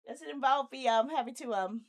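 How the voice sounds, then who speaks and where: A woman chatting casually on a live game stream, playful and animated, often muttering to herself. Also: sits high, fairly quick.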